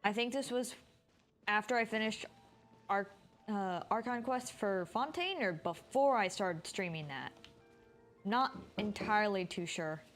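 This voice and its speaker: A woman's voice: medium pitch, unhurried, a bit bright, faint music behind. A woman streamer giving informal, rambling live commentary while she plays a video game. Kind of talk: casual live gameplay commentary.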